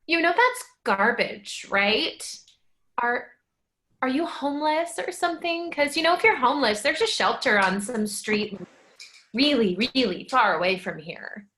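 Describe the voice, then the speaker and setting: A woman's voice: on the high side, animated, a little slow, sharp tone. A woman playing a snobbish, condescending rich person in a staged play reading, disdainful and mock-sweet. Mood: disgusted.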